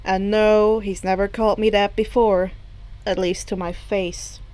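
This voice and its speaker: A woman voicing a reserved, deadpan teenage boy with a hesitant, understated delivery in a story reading. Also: mid-range voice, a little slow, no room sound, pitched below the performer's own voice, lightly acted. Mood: deadpan, uneasy.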